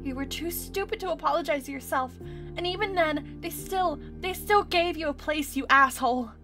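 A woman's voice: high-pitched, moderate pace, music in the background, lightly acted. A woman playing an anxious, weary but loyal young survivor in an audio drama, earnest and pleading. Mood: resentful brooding.

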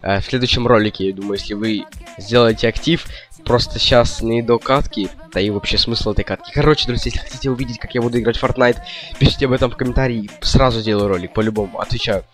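A man's voice: medium pitch, fast, faint music behind. A young man giving casual gameplay commentary, rambling indecisively and slangily to his viewers. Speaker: gaming video host.

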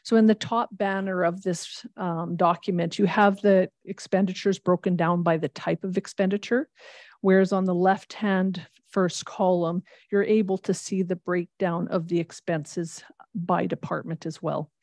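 Woman finance presenter, low and mellow, measured and explanatory, dry table-mic sound. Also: steady tone, dry recording. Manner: measured, explanatory, reads long figures. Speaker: municipal finance staff presenting a budget. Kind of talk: formal budget presentation. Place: large council chamber.

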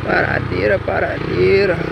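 A man's voice: high-pitched, little pitch movement, a little slow, gravelly, muffled recording. A young man with a Minas Gerais Brazilian accent riding a motorcycle through city streets, talking casually to his viewers in slang and swearing at careless drivers. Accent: Brazilian Portuguese, Minas Gerais. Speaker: motovlogger. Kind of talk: casual running commentary while riding. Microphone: helmet or action camera mic. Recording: loud road and engine noise.

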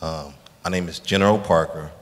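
A man from Illinois, an activist at an audience microphone, delivering a deliberate, persistent preamble to a question. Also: low-pitched, steady tone. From Illinois, United States. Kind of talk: prepared public question with preamble. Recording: reverberant hall PA.

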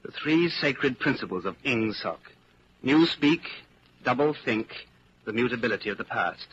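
A man's voice: mid-range voice, animated, normal speed, fairly bright tone, close to an everyday voice. A man acting in a 1940s radio drama as a weary, lonely clerk who secretly rebels, earnest and brooding. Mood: brooding, intense. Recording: muffled, hissy 1940s radio recording.

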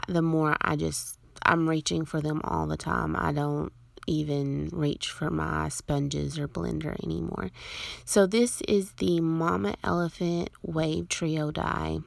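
Low, gravelly-voiced woman crafter. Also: no room sound. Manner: relaxed, rambling, with frequent ums. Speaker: card-making crafter. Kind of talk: casual voice-over narration of a craft process.

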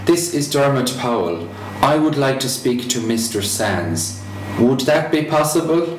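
A man's voice: medium pitch, very animated. A man in his twenties with an Irish accent playing a polite job seeker on the phone in a scripted lesson dialogue, speaking carefully. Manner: careful, deliberate, polite enunciation. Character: polite male job seeker phoning a company. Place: small bare indoor room. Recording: echoey room, clear background noise.

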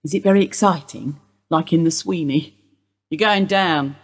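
An actress playing an older woman being questioned by police, guarded and wry in a husky voice. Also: deep voice, moderate pace. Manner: guarded, dry, wistful. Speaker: police interview suspect. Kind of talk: radio play acting.

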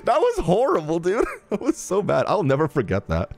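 Animated young man streaming a game. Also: a little high, a little fast, gravelly, soft background music. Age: young adult. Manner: animated, jokey. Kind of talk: casual live reaction commentary. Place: small indoor streaming room.

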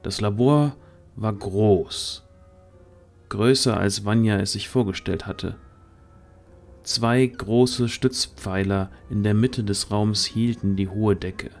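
A man's voice: on the low side, slow, faint music behind. A man narrating a fantasy audio drama from a script as storyteller.

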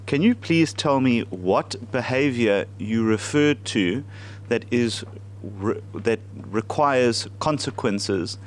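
A man's voice: medium pitch, animated, takes it slowly, a little hiss or hum. A young man formally questioning a witness in a parliamentary committee room.

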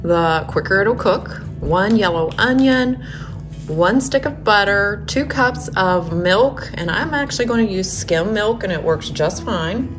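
A woman's voice: medium pitch, lively intonation, measured pace. Woman vlogger, encouraging, over music. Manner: encouraging, addresses viewers directly. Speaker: home decor and lifestyle vlogger. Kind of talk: casual vlog chat to viewers. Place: rooms of a house, indoors. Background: background music.

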